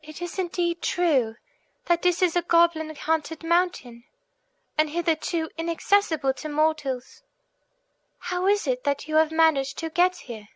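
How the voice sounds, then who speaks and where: Audiobook role: captive young princess voiced by a woman narrator, very high voice, pleading and wide-eyed, dry sound. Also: unhurried, a bit bright, dry recording. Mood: wondering. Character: distressed young captive princess, wondering and hopeful. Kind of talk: audiobook character reading.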